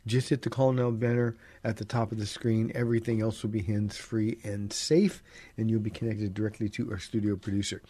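A male pastor hosts a call-in program from a radio studio, talking through scripture in an easygoing, conversational way. His tone is mellow and warm, pulled a little deeper than usual because he is under the weather. The sound is close and dry, with no room echo. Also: little pitch movement, fairly quick, husky. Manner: conversational, with frequent "uh" fillers and restarts. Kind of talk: relaxed Bible teaching and announcements.

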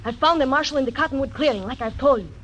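Brief: a shrill schemer in an old radio play, high voice, faint hiss. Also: female voice, rapid-fire, a bit bright. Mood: pleading. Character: scheming young woman urging murder. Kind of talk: 1940s radio acting.

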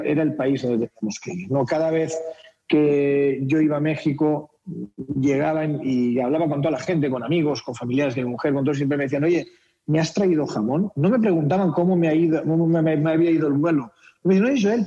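Man, Castilian Spanish accent with a Mexican tinge, fairly high voice, mellow tone, muffled remote audio. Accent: Castilian Spanish with a slight Mexican mix. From Spain. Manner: explanatory, frequent repetitions and tag questions. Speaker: company director, interview guest. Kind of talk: radio interview.

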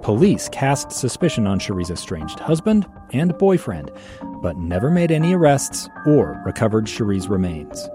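A man announces a podcast promo in a measured voice. His pitch is low but lively, with clear music behind.

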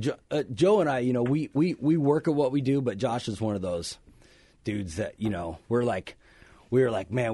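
Halting, enthusiastic band guy. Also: mid-range voice, a little mellow, husky. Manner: halting, many fillers and restarts, enthusiastic. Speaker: band member, guest. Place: radio studio.

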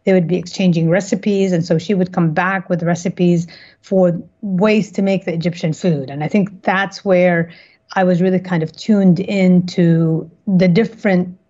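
A woman from Kuwait answering interview questions reflectively, often halting and correcting herself, on slightly dull audio. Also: fairly low pitch, steady tone, mellow. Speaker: interview guest, cookbook author.

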